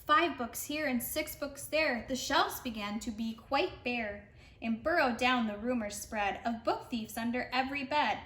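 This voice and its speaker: High-voiced woman reading a picture book. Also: a little slow, fairly bright tone. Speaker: read-aloud storyteller for preschoolers. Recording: roomy sound with faint background noise.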